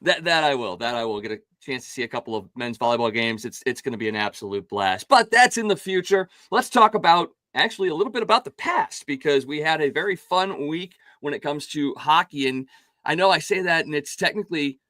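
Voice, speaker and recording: A man hosts a casual sports talk show, his voice raspy and worn hoarse. He talks in an upbeat, chatty way, restarting phrases often, with a slightly raised pitch. The recording is close and dry. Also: expressive, fairly quick, pushed and bright, dry recording. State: hoarse from calling games.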